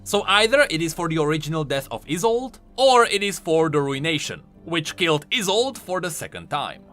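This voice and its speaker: A man's voice: a little high, big pitch swings, moderate pace, a bit bright. A man giving emphatic, enthusiastic explanatory commentary on video game lore as a voice-over. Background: faint music.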